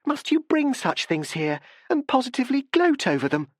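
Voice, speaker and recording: A man reading an audiobook, voicing a nervous, agitated young woman who speaks in a high, hurried way. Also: huge pitch swings, fairly bright tone, no room sound, studio quality, exaggerated voice. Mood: upset.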